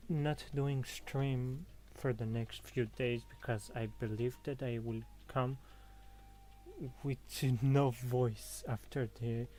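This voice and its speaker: Chill streamer talking slow over a game, short laid-back comments. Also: mid-range voice, warm tone. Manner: laid-back, brief asides. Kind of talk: casual gameplay commentary.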